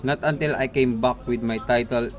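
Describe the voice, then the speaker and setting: A man's voice: mid-range voice, steady tone, moderate pace, lightly acted. A man playing a proud, dutiful military knight in an amateur radio drama, reciting formally with small stumbles. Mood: proud, respectful. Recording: faint background noise, amateur recording.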